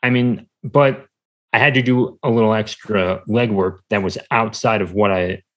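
A man chatting casually as an interview guest, halting and rambling, often repeating words as he goes. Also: on the low side, fairly even intonation, a bit bright. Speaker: podcast guest, small-business entrepreneur.

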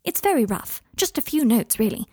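A clever, kindly witch, voiced by a woman for an audiobook. She is brisk, articulate and bookish, with a slightly raised pitch and a faint huskiness in a dry recording. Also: big pitch swings, dark tone, slightly rough, dry recording. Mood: excited. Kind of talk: audiobook character voice.

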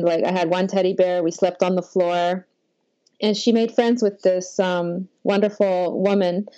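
A woman's voice: mid-range voice, warm tone, no room sound, dull audio. A Polish-born woman, Polish her first language, telling her life story in a rambling, reflective way with many fillers. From Poland. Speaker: guest. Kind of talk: personal life story in an interview.

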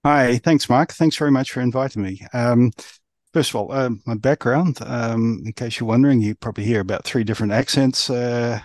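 A man with a mixed New Zealand accent giving conversational interview answers as a podcast guest, in halting phrases. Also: mid-range voice, darkish tone, no room sound. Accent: New Zealand, mixed by years abroad. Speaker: podcast guest, resilience professional.

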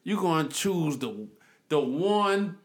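New man, emphatic, argumentative, mid pitch, very lively intonation. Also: slow. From New York. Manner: emphatic, argumentative, repeats points. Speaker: podcast co-host. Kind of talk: casual podcast chat. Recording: echoey room.

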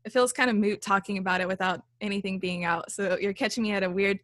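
A young woman with a small, light voice talks about her band in a relaxed interview. She rambles with fillers and laughs often. The sound is dry and close. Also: medium pitch, fast talker, no echo. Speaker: band leader and songwriter. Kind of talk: casual interview chat.

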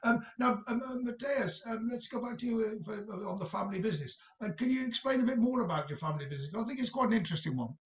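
A man hosting a casual interview, chatting in a halting, teasing way as he puts questions to his guests. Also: high voice, animated. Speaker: podcast host, university staff interviewing former students.

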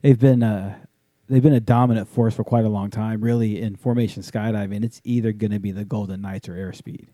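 A man's voice: fairly low pitch, steady tone, brisk, dark tone, dry recording. A man hosting a casual talk show, easygoing and teasing as he asks questions. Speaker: podcast host. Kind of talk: interviewing.

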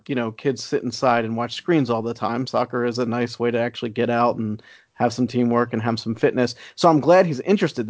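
Wry, measured male podcast co-host. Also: mid-range voice, no room sound. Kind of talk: casual chat. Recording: dry, slightly dull lo-fi sound.

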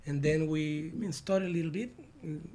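A man's voice: fairly high pitch, steady tone, fairly quick, mellow. A Venezuelan man, a songwriter guest, explaining earnestly and stumbling over his words in casual chat.

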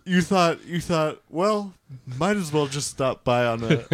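A man's voice: a little high, animated, measured pace. A man co-hosting comedic improv banter, playful and teasing. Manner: playful, teasing, sums up the bit.

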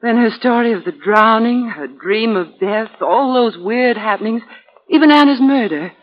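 A woman's voice: a little high, lively intonation, moderate pace, close to an everyday voice. Detective's lady companion female actor: earnest, sympathetic, classic 1940s radio acting, slightly dull vintage recording. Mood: astonished, deducing.

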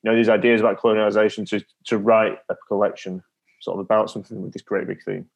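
A man's voice: lowish voice, little pitch movement, fast talker, gravelly. A man working as an interviewer in a literary conversation, putting long, discursive, reflective questions.

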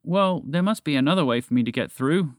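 An earnest storybook moose, played by a man, with a very lively, slightly raised voice. He questions and argues, doubtful and easily irritated. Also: a little high, fairly quick, no echo, studio quality, pitched above the performer's own voice, lightly acted. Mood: hopeful.

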